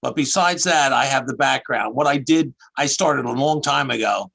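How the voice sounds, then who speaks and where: Philly guy in his 60s, gravelly husky voice, rambly chatty, on a video call. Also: medium pitch, moderate pace, fairly bright tone. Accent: Philadelphia. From Philadelphia area. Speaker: guest, tax accountant. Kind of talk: casual interview over video call.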